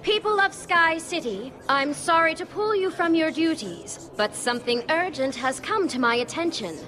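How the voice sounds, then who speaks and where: High-voiced, commanding city ruler. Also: female voice, lively intonation, normal speed, sharp tone, soft background music. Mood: grave, urgent. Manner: formal, declamatory. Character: grave, commanding female city founder. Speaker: ruler addressing citizens. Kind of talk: animated game voice acting. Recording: crowd noise behind the speech.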